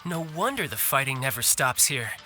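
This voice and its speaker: Game role: young warrior, playful and self-assured, animated and bright, faint music behind. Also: male voice, medium pitch, normal speed. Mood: casual, assured. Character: cocky, breezy young male warrior. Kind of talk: game dialogue.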